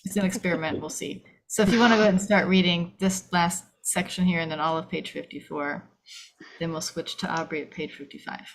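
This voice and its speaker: A woman's voice: on the low side, steady tone, fairly quick, slight background noise, poor audio. A woman casually giving instructions to a group as the organiser of a table read.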